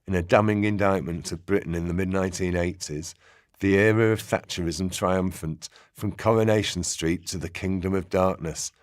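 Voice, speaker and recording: A man's voice: low-pitched, steady tone, moderate pace, clean tone, dry recording. A man narrating a scripted, documentary-style radio play.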